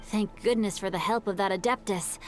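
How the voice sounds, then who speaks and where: A woman voicing a gentle, bookish young noble boy in a video game, polite and eloquent. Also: mid-range voice, fairly quick, lightly acted. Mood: reassuring. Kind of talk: video game character dialogue. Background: faint music.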